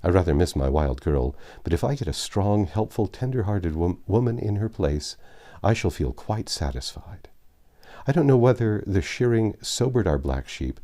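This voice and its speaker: A man voicing a proud, tender middle-aged father in a storybook reading, speaking in a measured, fond, fatherly way. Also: deep voice, expressive, mellow. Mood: tender, approving.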